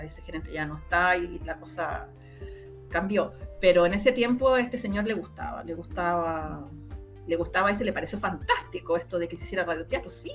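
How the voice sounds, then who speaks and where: A woman with a Chilean Spanish accent recounting her memories as an interview guest in casual talk, repeating words for emphasis. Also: mid-range voice. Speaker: interview guest, radio drama producer. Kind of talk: casual conversational recollection.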